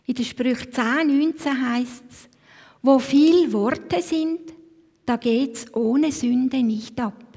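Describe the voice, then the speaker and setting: A Swiss woman leads a church service, her slightly high voice reading scripture at a slow, thoughtful pace. Her phrase ends sink to a near whisper. The sound carries the long reverb of a church hall. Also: creaky, warm tone. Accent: Swiss German. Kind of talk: devotional talk and scripture reading.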